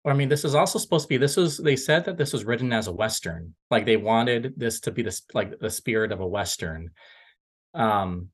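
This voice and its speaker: A man co-hosting a film podcast, chatting casually in a halting, rambling way and often correcting himself. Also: medium pitch, animated, breathy edge.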